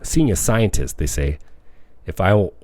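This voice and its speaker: A man reading a self-help audiobook as scripted narration, with measured, declamatory phrasing. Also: low voice, lively intonation, a little mellow, dry recording. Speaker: audiobook reader.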